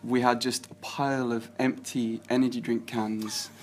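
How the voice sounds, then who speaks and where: A man's voice: medium pitch, level tone, moderate pace. A young man from England, a filmmaker guest, chatting casually with lots of hesitation and easy laughter. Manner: rambling, hesitant, laughs easily. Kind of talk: casual chat.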